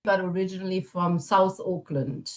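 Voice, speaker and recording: A woman's voice: on the low side, steady tone, moderate pace. Middle-aged woman with German accent. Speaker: podcast guest, family constellation facilitator. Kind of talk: casual chat, personal storytelling.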